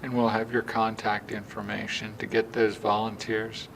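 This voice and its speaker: A man's voice: fairly low pitch, little pitch movement, normal speed, creaky, a bit bright, a little hiss or hum, poor audio. A man hosting an interview, who welcomes his guest and asks brief, polite, formal questions. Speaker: interview host. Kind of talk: hosting a local interview segment.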